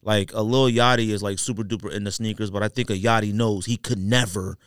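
A man's voice: fairly low pitch, steady tone, brisk, clear, resonant tone, no room sound, clean studio sound. A man co-hosting a podcast in casual, opinionated chat, talking in a rambling, repetitive, slangy way. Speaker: podcast co-host.

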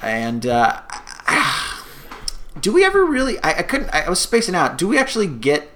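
A man's voice: sits high, huge pitch swings, measured pace, pushed and bright, slight background noise. A man hosting a casual chat, rambling and restarting his sentences with frequent chuckles. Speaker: podcast host.

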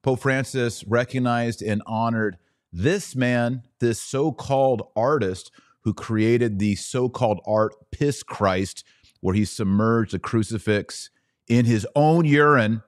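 A man's voice: medium pitch, slow pace, dry recording, studio quality. A middle-aged American man hosting a podcast, giving opinionated religious commentary in an informal, slangy, mocking and incredulous way.

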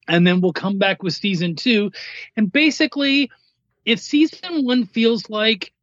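A man with a notably high voice talks as a guest on a podcast, explaining things in a casual, conversational way. The sound is dry and a little muffled. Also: no room sound. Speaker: podcast guest, writer and editor.

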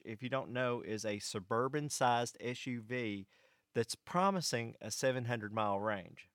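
An American man telling a first-person story to an audience in measured, short phrases, as a vlog host. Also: medium pitch, fairly bright tone, smooth, no echo, studio quality. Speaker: vlog host and electric cooperative employee.

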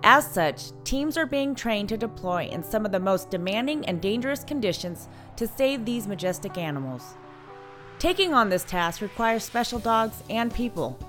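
A woman host reading scripted narration in a clear, expressive way. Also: a little high.

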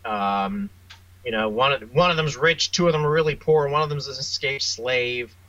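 A man speaking as an interview guest, a writer telling wry, self-deprecating anecdotes in a casual, animated way. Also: medium pitch, moderate pace, no echo, lo-fi sound.

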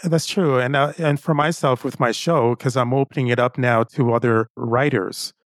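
A man's voice: mid-range voice, fairly even intonation, normal speed, dry recording. Man hosting a podcast, very dry sound. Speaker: podcast host. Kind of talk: conversational podcast interview.

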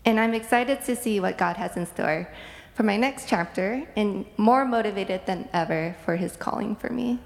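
A woman's voice: mid-range voice, normal speed, creaky. A young woman, a graduate student, giving an earnest, reflective testimony in church. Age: young adult. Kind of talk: prepared testimony. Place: church sanctuary. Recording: long hall reverb.

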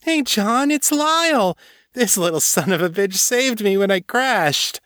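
Here is a man acting out an exuberant drunk woman from an audiobook. The voice sits high and swings widely, slurred and whiny, with loud, dramatic delivery in a very dry recording. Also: big pitch swings, takes it slowly, no echo, exaggerated voice. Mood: delighted.

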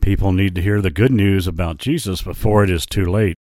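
Man voicing a low, gentle, solemn lion. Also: fairly even intonation, a little fast, no echo, clean studio sound, lightly acted. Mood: earnest. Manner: gentle, serious, preaching. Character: gentle, solemn male lion messenger, loving and serious.

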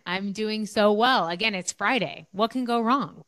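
A woman's voice: medium pitch, measured pace, fairly bright tone, dry recording. A woman hosting a podcast in an upbeat, conversational presenting style. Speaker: podcast host.

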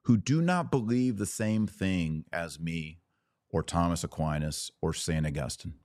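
A man's voice: sits low, a little slow, darkish tone, no room sound, studio quality. A man hosting a podcast, delivering a fervent religious monologue in an emphatic, exhorting manner with rhetorical questions and repetition. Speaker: podcast host.

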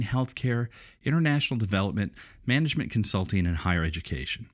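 A man hosting a podcast, reading a scripted introduction to his guest in a measured, even way. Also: fairly low pitch, dry recording, studio quality. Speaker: podcast host.

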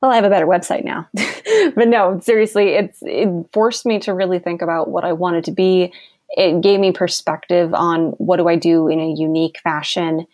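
A young woman speaks as a podcast guest, bubbly and quick to laugh. Her voice sits a little lower than most women's. The sound is dry and close, with no room around it. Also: fairly even intonation, a little mellow, no echo. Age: young adult. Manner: bubbly and enthusiastic, laughs often. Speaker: guest copywriter. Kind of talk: conversational podcast interview.

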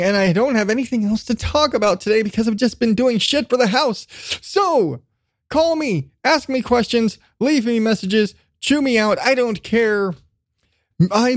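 A man's voice: sits high, no echo, studio quality. A man hosting a solo podcast, chatting casually in a rambling, self-deprecating way with some casual swearing. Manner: casually profane. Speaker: podcast host.

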